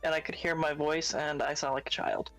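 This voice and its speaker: A man chatting casually on a stream, quick and laughing at himself. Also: a little high, little pitch movement, vocal fry, fairly bright tone, soft background music, low-quality recording. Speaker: co-host on a game prep stream.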